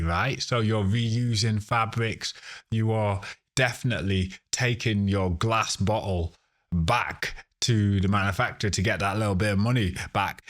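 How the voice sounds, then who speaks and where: Male interviewee, fairly low voice, conversational, halting delivery. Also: fairly bright tone. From Ghana, later the UK. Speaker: podcast guest, sustainability professional.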